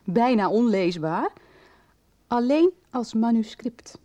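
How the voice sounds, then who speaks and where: Mellow-voiced confiding young woman. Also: a little high, lively intonation. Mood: confiding, earnest. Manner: confidential, deliberate. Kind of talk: naturalistic radio soap dialogue.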